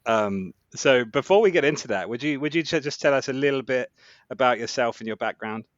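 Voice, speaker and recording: A man's voice: mid-range voice, expressive, brisk, dry recording, muffled recording. A man hosting a podcast interview, affirming his guest and asking about her background. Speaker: podcast host and editor. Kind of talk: casual interview chat.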